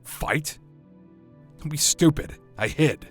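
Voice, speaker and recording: A man playing a gruff, cynical veteran soldier and survivor, terse, urgent and commanding. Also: on the high side, takes it slowly. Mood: bitter, commanding. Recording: music behind the voice.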